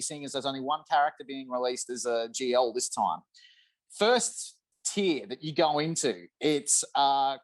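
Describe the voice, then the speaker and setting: A man's voice: medium pitch, rough recording. A man in his thirties chatting casually and emphatically about a video game on a video call, with lots of enthusiasm. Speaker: podcast guest. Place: room at home, on a video call.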